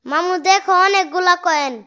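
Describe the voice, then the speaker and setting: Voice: very high voice, brisk, bright, forceful tone. A performer voicing a cheeky, stubborn little cartoon boy, bold and argumentative, with a Bangladeshi accent. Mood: insistent.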